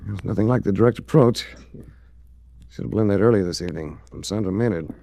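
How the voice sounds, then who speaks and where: A man's voice: on the low side, brisk, dark tone, slight background noise, close to an everyday voice. An American man playing a suave, shrewd adventurer-detective in a 1940s radio drama, speaking with dry, worldly understatement. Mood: calm, focused. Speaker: lead actor and first-person narrator in a radio detective series.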